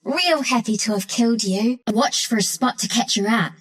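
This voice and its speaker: A woman's voice: on the high side, normal speed, fairly bright tone. A woman playing a cheeky, scrappy young woodland trickster creature in a video game, with a New Zealand accent and a brash, playful, slangy way of talking. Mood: defiant, menacing. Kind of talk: video game character voice lines.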